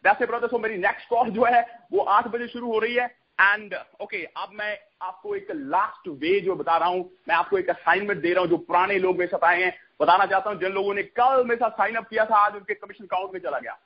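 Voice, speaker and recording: High-pitched husky man pitching on a call. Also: steady tone, fairly quick. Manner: repetitive, mixes English catchphrases into Hindi. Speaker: network marketing promoter hosting a conference call. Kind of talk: sales pitch on a conference call. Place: conference call line. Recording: dull lo-fi conference call audio.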